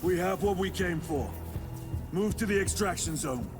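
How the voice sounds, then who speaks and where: An actor playing a grave, resolute veteran space marine lieutenant in a game, with clipped commanding delivery. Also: male voice, sits high, moderate pace, music in the background, natural voice. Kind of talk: cinematic game dialogue.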